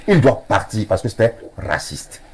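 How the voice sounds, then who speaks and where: A middle-aged man, a professor arguing on a TV panel, insistent and halting, often restarting his sentences. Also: on the low side, big pitch swings, crowd noise. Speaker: professor and debate guest. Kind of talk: heated TV panel debate.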